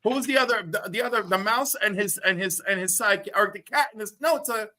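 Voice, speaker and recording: A man's voice: high-pitched, quick pace, bright, forceful tone. A man rambling and searching for words during a casual stream chat. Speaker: stream panelist.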